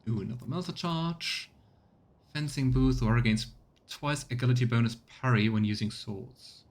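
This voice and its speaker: Man thinking aloud over a game. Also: mid-range voice. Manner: thinks aloud, reads item text in quick bursts. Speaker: let's play streamer. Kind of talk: casual gameplay commentary.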